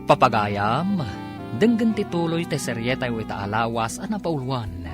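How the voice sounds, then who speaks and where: Animated Filipino male radio drama announcer over music. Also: medium pitch, measured pace. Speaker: announcer and narrator. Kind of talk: scripted radio drama narration.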